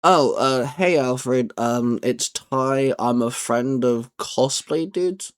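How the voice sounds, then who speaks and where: A man's voice: medium pitch, slow, smooth. A man hosting casually, talking in an informal, hesitant, improvised way. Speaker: YouTube channel host. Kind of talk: casual, improvised skit and chat.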